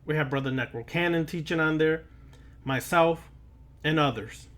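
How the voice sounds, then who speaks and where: A man's voice: on the high side, moderate pace, a bit bright. A man lecturing in an emphatic, preachy manner, speaking straight to the listener and repeating words and phrases for weight. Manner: preachy, admonishing, addresses listener directly. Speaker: occult lecturer and teacher. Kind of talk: impromptu sermon-like lecture to camera.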